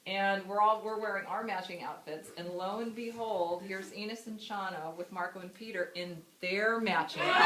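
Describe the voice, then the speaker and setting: Fifties woman telling stories about her mom at a memorial, bright voice, roomy echo. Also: mid-range voice. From United States. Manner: warm storytelling with frequent laughs and fillers. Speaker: daughter giving a memorial speech. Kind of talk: personal memorial speech. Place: memorial gathering room.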